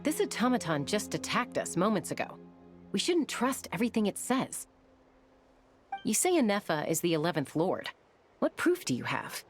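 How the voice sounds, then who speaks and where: A woman's voice: mid-range voice, big pitch swings, normal speed, natural voice. An actress voicing a wary, skeptical young woman ally in a video game, speaking in a level-headed, questioning way. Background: game music.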